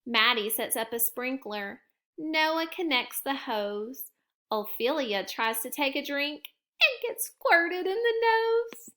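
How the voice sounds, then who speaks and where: A woman with a high, bright voice reads a picture book aloud to her kindergarten class. She takes her time, gentle and expressive, in a classic storytime manner. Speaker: kindergarten teacher.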